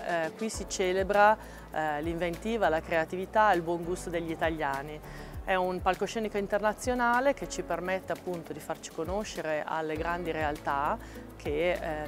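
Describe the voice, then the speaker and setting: A woman in her forties, a marketing and sales director, speaking in a composed, articulate way at a trade fair stand, with occasional fillers. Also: lowish voice. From Italy. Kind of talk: company interview at a trade fair. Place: exhibition stand at a large indoor trade fair. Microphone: lapel mic. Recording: music bed under the voice.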